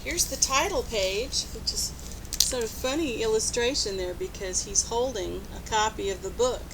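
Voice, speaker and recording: A woman reading a picture book aloud to young children, expressive and instructive, pausing to put questions to her listeners. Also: a little high, noisy background. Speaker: storybook reader.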